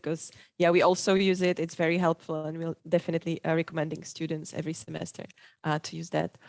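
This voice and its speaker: Woman, lowish flat voice, dry sound, hesitant panel host. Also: dry recording. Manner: hesitant, many fillers, slightly non-native phrasing. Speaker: program staff host. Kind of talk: moderating a panel. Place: video call.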